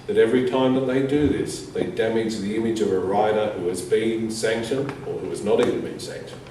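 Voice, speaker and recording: A man reading a prepared statement aloud as a conference speaker, in measured, deliberate short phrases. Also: fairly low pitch. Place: reverberant conference room. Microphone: distant from the speaker. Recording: echoey room, distant mic, faint noise.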